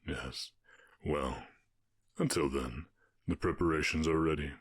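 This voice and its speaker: A man voicing a calm, commanding orc warchief in an ASMR roleplay, with a husky voice and a measured, condescending, teasing manner. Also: no room sound, clean studio sound, exaggerated voice. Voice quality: very deep, husky.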